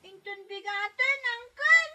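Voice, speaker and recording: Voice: extremely high pitch, animated, a little slow, sharp tone, no room sound, full character voice. An actor playing an affectionate young girl in an Ilocano radio drama, with music underneath. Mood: plaintive. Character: affectionate young girl living with her uncle.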